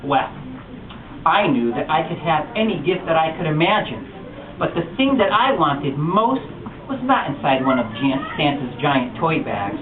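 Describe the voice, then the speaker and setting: A man reading a picture book aloud at a bookstore storytime, telling it with expressive animation. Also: fairly high pitch, normal speed, a crowd in the background. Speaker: storytime reader. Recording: distant echoey lo-fi room recording with noise.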